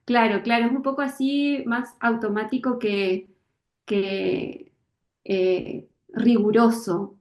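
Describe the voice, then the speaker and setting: Woman writer, higher voice, halting reflective talker, roomy echoey sound. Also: steady tone, a little mellow. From Misiones, Argentina. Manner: hesitant, searching for words, many fillers and restarts. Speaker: author interviewed about her book. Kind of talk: thoughtful conversational interview.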